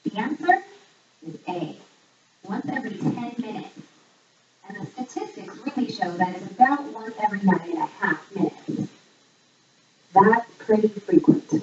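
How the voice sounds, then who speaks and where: A woman hosting a trivia game in a scripted voice-over, reading questions and answers in a measured, item-by-item way. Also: expressive, darkish tone, a little hiss or hum. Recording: echoey, distant, muffled low-fidelity playback. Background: voice audio played back in the room while filming.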